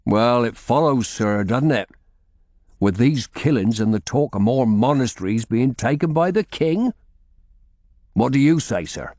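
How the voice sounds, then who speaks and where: A man's voice: mid-range voice, animated, a little slow, darkish tone, dry recording, studio quality, voice pushed up, close to an everyday voice. A man voicing a meek, anxious servant of nearly sixty in an audiobook, pleading deferentially.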